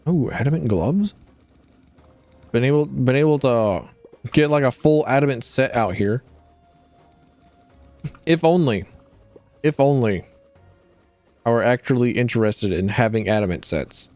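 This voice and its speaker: A man's voice: mid-range voice, lively intonation, unhurried, long pauses, darkish tone, no echo, soft background music. A man streaming a video game, chatting casually in a rambling, wry way and often correcting himself. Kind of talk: casual gameplay commentary.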